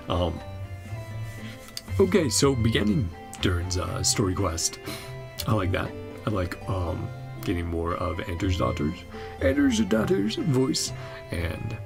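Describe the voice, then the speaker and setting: Deep-voiced man chatting over game music. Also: gravelly. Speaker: gaming reaction channel host. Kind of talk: casual chat, game reaction commentary.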